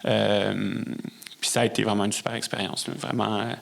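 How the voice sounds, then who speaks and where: A man's voice: lowish voice, flat delivery, normal speed, creaky. A man from Montreal with a Quebec French accent, answering in a conversational interview and often searching for words. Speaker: podcast guest, musician and conductor.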